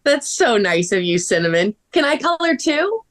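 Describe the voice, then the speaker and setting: Eager young female sleuth played by a woman, high and swooping, expressive, for a children's mystery read. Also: normal speed. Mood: eager, friendly. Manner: expressive, exclamatory.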